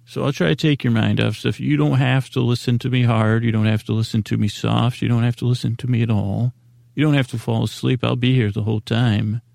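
A man hosting a sleep podcast, rambling in a meandering, halting way with a goofy, self-deprecating streak. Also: medium pitch, little pitch movement, creaky, a little mellow, dry recording, clean studio sound. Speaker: podcast host.

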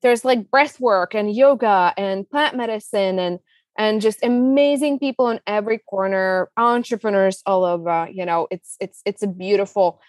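A woman's voice: medium pitch, normal speed, dry recording, clean studio sound. A woman in her thirties from the Czech Republic with a Czech accent, a business coach telling her story as a guest, enthusiastic and talkative with many fillers. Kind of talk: casual interview storytelling.

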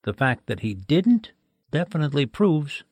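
An actor playing a measured, formal medical examiner who explains precisely, in a 1940s-style radio drama. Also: male voice, fairly high pitch, sing-song, a little mellow, slightly rough, no room sound, exaggerated voice. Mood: precise, authoritative.

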